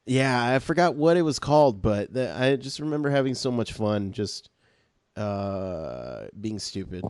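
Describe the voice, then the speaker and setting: A man in his late twenties hosts a podcast in a relaxed chat, with a mid-range voice. He teases his guest and laughs easily, and the sound is dry, with no room around it. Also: medium pitch, measured pace, smooth, no room sound. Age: 20s. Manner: teasing, laughs often. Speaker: podcast host. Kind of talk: casual chat.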